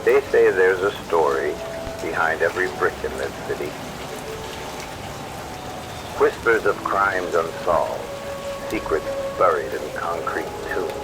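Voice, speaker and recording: A man playing an ominous, poetic late-night radio host in an ASMR roleplay, with theatrical, measured delivery. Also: deep voice, noisy background. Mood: ominous, brooding. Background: loud music and rain.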